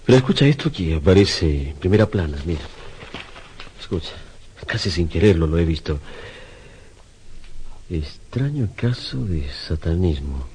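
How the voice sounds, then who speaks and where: A man's voice: deep voice, very animated, moderate pace, pauses often, dark tone, slightly rough, exaggerated voice. A man acting in a radio drama as a proud, obsessive, self-doubting sculptor, with a theatrical delivery. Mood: grave, absorbed.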